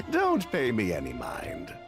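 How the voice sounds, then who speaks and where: An actor playing a breezy, jovial, smooth-talking man in a video game, with a casual, evasive manner. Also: sits high, wildly swooping pitch, takes it slowly, over music, exaggerated voice. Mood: breezy, reassuring. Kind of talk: video game dialogue acting.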